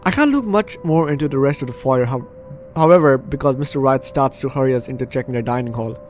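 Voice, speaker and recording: A man's voice: medium pitch, lively intonation, quick pace. Man reading a game aloud over faint music. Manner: reactive, chatty asides. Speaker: let's play host. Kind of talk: reading a visual novel aloud with playful commentary.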